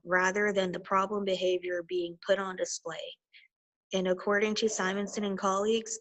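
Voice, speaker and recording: A woman's voice: mid-range voice, fairly bright tone, low-quality recording. A woman from Florida who works as a behavior technician, carefully reading cited facts in a prepared presentation.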